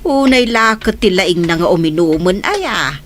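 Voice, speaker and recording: A woman's voice: lowish voice, lively intonation, unhurried, a little hiss or hum. A woman with an Ilocano accent playing a nagging, fed-up village woman in a comedy radio drama.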